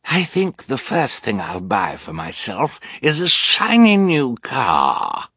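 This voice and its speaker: A man voicing an arrogant, menacing male storybook villain who growls and sneers in a drawling, gravelly voice. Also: mid-range voice, wildly swooping pitch, slow pace, a bit bright, no echo, studio quality, pitched above the performer's own voice, heavily acted. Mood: boastful.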